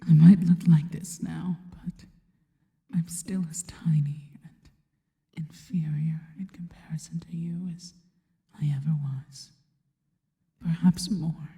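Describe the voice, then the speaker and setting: A woman voicing a devoted, worshipful spider monster girl in a soft, breathy, intimate ASMR whisper, speaking slowly and reverently. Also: sits low, fairly even intonation. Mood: adoring, devoted. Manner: slow, deliberate, reverent.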